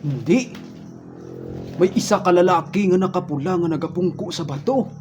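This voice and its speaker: A man's voice: high-pitched, animated, moderate pace, darkish tone, soft background music. A man performing an improvised comic radio drama in an exaggerated way, narrating his own actions aloud one by one. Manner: exaggerated, spells out each action. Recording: noisy amateur recording with clicks.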